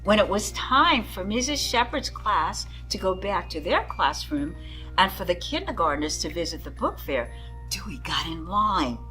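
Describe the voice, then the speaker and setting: A woman's voice: on the low side, big pitch swings, moderate pace, sharp tone, faint music behind, poor audio. A woman reading a picture book aloud to children, expressively and with dramatic emphasis, addressing her young listeners. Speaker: children's story-time reader.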